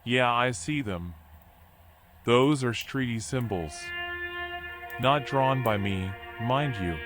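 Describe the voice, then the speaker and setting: An actor playing a washed-up animal drifter in a noir video game, folksy, rambling and resigned. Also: male voice, medium pitch, expressive, slow, fairly bright tone. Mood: wry, resigned. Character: washed-up male drifter animal, philosophical, wry, weary. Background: music.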